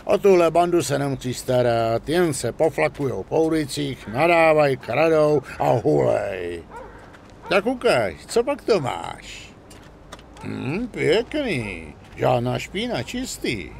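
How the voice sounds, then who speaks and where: A gruff, shady car dealer in middle age, with a creaky, gravelly drawl. His pitch moves in lively swings, wily and grumpy, as in a crime video game. There is faint noise behind. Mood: appraising, dismissive. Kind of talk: dubbed crime game dialogue.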